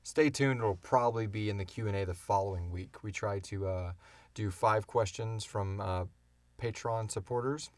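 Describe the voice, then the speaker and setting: A man's voice: lowish voice, moderate pace, no echo. A man hosting a casual unscripted podcast Q and A, talking informally and swearing freely. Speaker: podcast host and producer.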